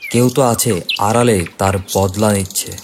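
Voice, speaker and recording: A man voicing an earnest, determined young city man with a serious, insistent manner in an animated horror story. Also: lowish voice, moderate pace, noisy background, lightly acted.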